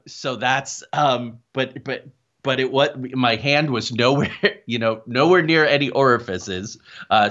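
A middle-aged man telling a personal story as an interview guest, haltingly and correcting himself as he goes. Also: medium pitch, fairly bright tone. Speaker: guest, paranormal investigator. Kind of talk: conversational personal anecdote. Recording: dull, lo-fi sound.

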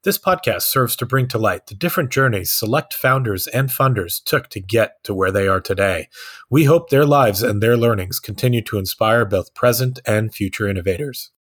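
Male podcast host, lowish husky voice, formal read-out intro. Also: animated, moderate pace. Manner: formal, announcer-like delivery.

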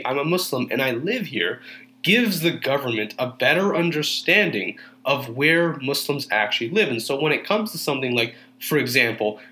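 Casting: young man, earnest and urging, forceful tone, plenty of fillers. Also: medium pitch. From Canada. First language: Urdu. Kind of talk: earnest monologue.

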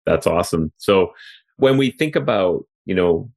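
A man's voice: low-pitched, lively intonation, moderate pace, creaky, darkish tone. A Canadian man hosting a podcast interview, chatty and loose, full of fillers and restarts. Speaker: podcast host. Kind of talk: conversational interview. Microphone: remote call.

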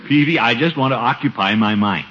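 An actor playing a pompous, put-upon middle-aged uncle in a 1950s radio comedy, blustering and muttering asides. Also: male voice, medium pitch, expressive, fairly quick, close to an everyday voice. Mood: glum. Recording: noisy, dull 1950s radio recording.

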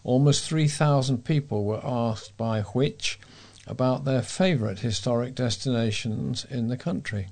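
A man reading scripted local news as a volunteer newsreader, in a measured way. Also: mid-range voice, darkish tone.

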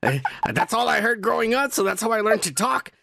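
A raspy Mexican-American man with a high, gravelly voice that swings all over as he jokes. His talk is bright and edgy, full of profanity and laughter, and the room sounds dry and close. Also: very animated, a little fast, no room sound. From United States. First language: Spanish. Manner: loud, profane, jokey, laughs often. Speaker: podcast co-host. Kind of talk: crude casual banter. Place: home, indoors.

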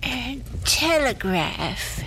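A woman's voice: fairly high pitch, sing-song, very slow, fairly bright tone, slight background noise, full character voice. A woman playing a frail, muddled elderly lady in an audio drama, hesitant and faltering. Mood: confused, faint. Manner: hesitant, faltering, absent-minded.

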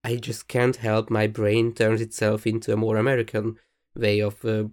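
Hungarian man, flat steady delivery. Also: lowish voice, normal speed. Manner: thoughtful, restarts sentences while searching for words. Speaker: podcast co-host. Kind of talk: casual chat.

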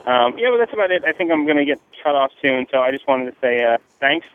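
A man's voice: mid-range voice, expressive, fast talker. A man from New Jersey rambling through a casual, profane, slangy listener message with hesitant phrasing. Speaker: listener leaving a call-in message. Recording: muffled, narrow phone-like line, dry.

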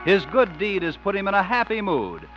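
A man's voice: high voice, very animated, normal speed. A man announcing and narrating in a polished, emphatic 1950s radio style. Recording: muffled vintage radio broadcast, faint music.

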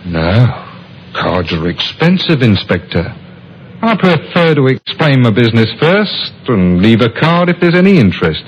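An actor playing a smooth, over-cooperative murder suspect in an old-time radio mystery, polite, glib and at ease. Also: male voice, medium pitch, very animated, a little slow. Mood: smoothly compliant. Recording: muffled, noisy vintage radio recording.

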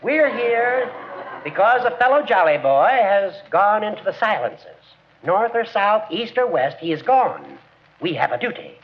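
A fussy older judge with a high, swooping voice. He speaks formally and pompously, as if chairing a meeting, in a muffled 1940s radio comedy. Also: very animated, measured pace, a little hiss or hum, heavily acted. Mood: earnest. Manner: pompous, orderly, wordy. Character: formal, pontificating small-town judge, fussy older man.

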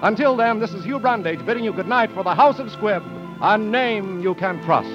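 A man's voice: high-pitched, normal speed. A man announcing from a script in a polished, formal 1940s radio style. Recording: muffled 1940s radio broadcast, faint music.

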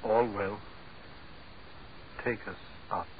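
A man in a 1940s radio horror drama playing a resigned, embittered doctor, grave and measured. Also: on the low side, fairly even intonation, highs cut off. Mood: flat, confessing. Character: resigned, embittered doctor, quietly vengeful would-be killer. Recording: hissy band-limited 1940s radio recording.

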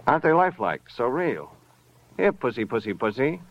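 A man's voice: mid-range voice, big pitch swings, normal speed. A man playing a fussy, evasive but eager camera shop salesman in a 1950s radio crime drama. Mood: doting. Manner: fussy, hesitant, effusive. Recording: muffled old radio recording.

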